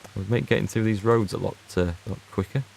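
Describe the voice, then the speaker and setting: Gravelly low-voiced man. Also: fairly even intonation, quick pace, darkish tone, slight background noise. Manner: chatty, reads out and answers viewer chat. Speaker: gaming streamer. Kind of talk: casual let's play commentary.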